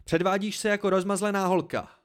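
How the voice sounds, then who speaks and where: A man's voice: mid-range voice, close to an everyday voice. A man voicing a hot-tempered, hurt and sarcastic young man in an audiobook story, barking cutting retorts. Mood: furious.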